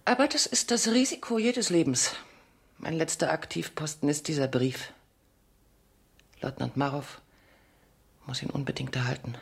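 A world-weary, proud female spy facing death in a radio play. Her voice is very low and gravelly, with breathy murmurs and a deliberate, seductive theatricality. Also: full character voice. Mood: wistful, resigned. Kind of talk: German radio play acting.

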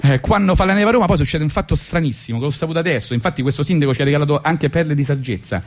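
A man's voice: on the high side, very fast. A man with a Roman accent, a radio host and comedian telling a rambling story with false starts and asides. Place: radio studio.

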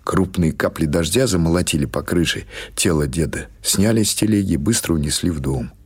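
A man reading literary prose as an audiobook narrator, in a measured, dramatic storytelling manner. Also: sits low, breathy edge.

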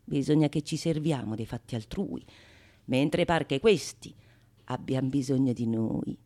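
An actress playing a shrewd, mocking courtesan in a theatrical radio monologue, declamatory and sardonic. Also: female voice, rumbling low, a little slow. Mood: shrewd, calculating. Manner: declamatory, sardonic, swinging from sung refrains to hushed asides. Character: shrewd, mocking, calculating courtesan scorning her besotted suitor. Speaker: stage actress performing solo.

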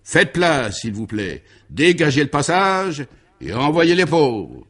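A pompous baron played by a man holds forth in a comic radio serial. His voice is raised in pitch and he declaims in grandiloquent, ceremonious phrases, like a gala speechmaker. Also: big pitch swings, slow, fairly bright tone, exaggerated voice. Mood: commanding. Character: pompous aristocratic baron addressing a charity gala.

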